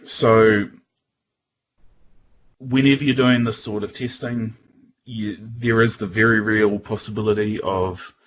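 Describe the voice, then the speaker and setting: A man with a New Zealand accent giving an informal technical presentation in a casual, halting way. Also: on the low side, a bit bright, muffled recording. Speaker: guest presenter at a tech user group.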